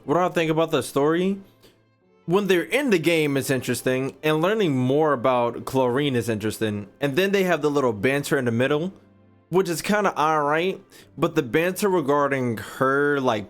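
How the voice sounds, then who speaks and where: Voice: medium pitch, animated, moderate pace, soft background music. A streamer giving casual, profane, joking reactions while playing a game on a livestream. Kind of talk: casual gaming livestream commentary.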